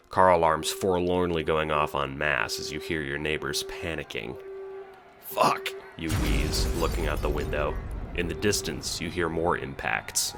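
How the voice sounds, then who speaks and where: A man reading second-person scripted narration for an audio drama. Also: extremely low pitch. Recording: background music under the voice.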